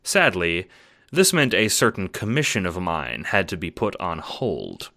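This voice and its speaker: A man plays a fussy, erudite troll narrating an audio drama. The voice is low yet bright and crisp-edged, with animated intonation. Phrasing is formal and pedantic. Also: a little slow, full character voice. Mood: measured, pedantic. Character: precise, fussy, erudite alien troll recounting events. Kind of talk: scripted audiobook narration in character.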